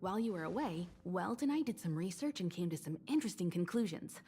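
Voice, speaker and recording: A woman voicing a calm, wise and gracious mentor in a video game, with composed, elegant phrasing. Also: lowish voice, fast, husky, faint music behind. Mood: gentle, reassuring. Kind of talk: scripted video game voice acting.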